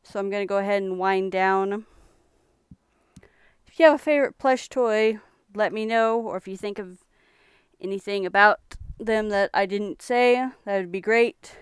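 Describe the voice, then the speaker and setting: A young woman from Texas chatting casually on a live stream about thrift finds she resells, in a relaxed, rambling way. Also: medium pitch, normal speed, clear, resonant tone, dry recording.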